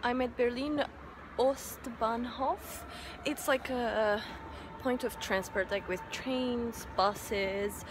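A woman's voice: fairly high pitch, steady tone, slow, noisy background. Young woman vlogging outdoors. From Romania. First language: Romanian. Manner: chatty, thinking aloud with frequent fillers. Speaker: travel vlogger. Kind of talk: casual travel vlog. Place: outdoors on city streets and at a train station. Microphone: phone camera at arm's length. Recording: music track playing loudly over it.

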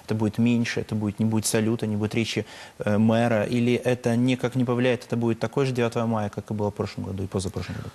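A man's voice: fairly low pitch, little pitch movement, rapid-fire, mellow. A young man putting questions as host of a political interview programme in a TV studio. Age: young adult.

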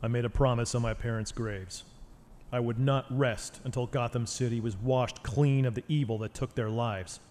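A man's voice: mid-range voice, fairly even intonation, moderate pace, a little mellow, lightly acted. Grim, brooding vigilante played by a man, noisy backdrop. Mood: grim, resolute. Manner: terse, solemn, resolute. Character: grim, brooding male masked vigilante detective. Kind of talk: noir radio drama acting.

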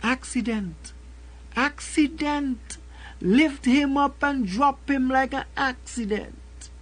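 An older man with a Caribbean accent recites a spoken word poem in a slow, rhythmic way, returning again and again to a refrain, at a desk mic in a small room. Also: very high voice, fairly bright tone. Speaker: poet. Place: small room with a colorful backdrop.

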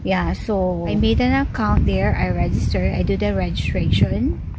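A woman's voice: mid-range voice, normal speed. Chatty woman vlogging outdoors on a phone. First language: Filipino. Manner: chatty, keeps saying guys, plain non-native phrasing. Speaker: travel vlogger. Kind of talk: casual vlog chat to camera. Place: outdoors in a city park. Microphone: phone camera at arm's length, no headset. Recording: outdoor phone audio with steady background noise.

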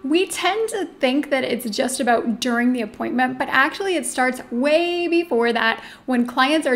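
High-pitched woman coaching on camera. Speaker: marketing coach, presenter. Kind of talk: upbeat instructional video to camera. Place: small indoor room.

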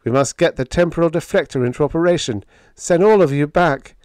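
A man voicing an earnest, excitable young male spaceship lieutenant with a formal, emphatic delivery in a pulp science fiction story. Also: a little high, fairly quick, no room sound, clean studio sound, pitched above the performer's own voice, close to an everyday voice. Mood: urgent, earnest.

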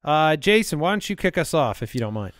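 A man's voice: on the high side, big pitch swings, a little fast, no echo. An American man, perhaps in his thirties, hosting a casual comedy podcast on a close studio microphone. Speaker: podcast host. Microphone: close studio microphone on boom arm.

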